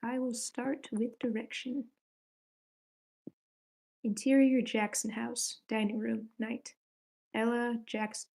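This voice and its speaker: A woman's voice: a little high, little pitch movement, slow pace, vocal fry, pauses often, darkish tone. A woman hosting a script table read in a casual way over an online group call.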